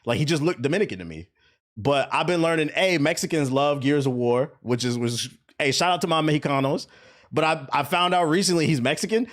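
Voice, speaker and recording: An American man reacts casually on a live stream. His voice is animated and swings widely in pitch, and he talks in loose, sweary slang. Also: fairly quick. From US East Coast. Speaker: streamer reacting to game trailers.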